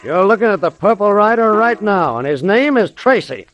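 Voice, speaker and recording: A scornful crime boss in a vintage 1930s radio serial. He is curt and challenging, his high voice swinging widely in pitch. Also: very animated, moderate pace, a bit bright, highs cut off, soft background music. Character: scornful, suspicious male crook boss. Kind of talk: 1930s radio drama acting.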